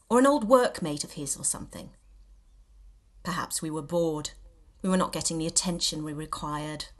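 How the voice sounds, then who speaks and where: A woman reading a novel extract aloud in an expressive, theatrical and measured storytelling style. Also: lowish voice. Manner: theatrical, measured storytelling with dramatic emphasis and hushed dips. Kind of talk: expressive scripted audiobook narration.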